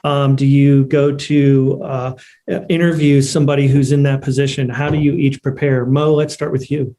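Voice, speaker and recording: A man's voice: medium pitch, level tone, moderate pace, dark tone. A man moderating a panel on a video call, casually putting questions and thinking aloud as he goes.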